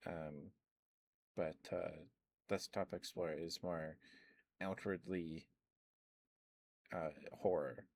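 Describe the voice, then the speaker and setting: Man, very low voice, soft ASMR rambling, close mic, stammers and restarts. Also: fairly even intonation, takes it slowly, pauses often. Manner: soft-spoken, meandering, with stammered restarts and fillers. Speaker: ASMR streamer. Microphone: close microphone.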